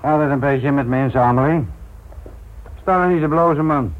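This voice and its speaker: Actor as a gruff, cynical old police inspector, tinny radio. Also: male voice, on the high side, normal speed, natural voice. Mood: sardonic, blunt. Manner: gruff, sardonic. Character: cynical, stubborn police inspector near retirement, biting and blunt. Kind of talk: radio drama acting. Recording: dull, band-limited old radio recording.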